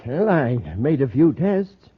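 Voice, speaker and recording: An actor playing an eager, fussy meteorite professor, polite and hesitant, in 1950s radio drama style. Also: male voice, on the high side, lively intonation, takes it slowly, husky, full character voice. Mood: scholarly. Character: eager, excitable male professor, a meteorite expert. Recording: tinny lo-fi vintage radio recording.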